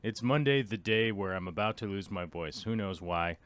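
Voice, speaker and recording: A man hosting a live stream from his home office, hoarse and losing his voice, chatting casually and chuckling often as he rambles. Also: fairly low pitch, moderate pace, a bit bright, no echo.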